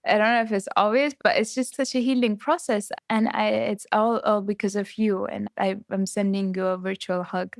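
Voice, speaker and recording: Young woman guest, tearful and heartfelt, gravelly edge, dry close sound. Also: mid-range voice, fairly even intonation, normal speed, few pauses, no room sound. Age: 20s. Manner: heartfelt, emotional. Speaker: guest poet.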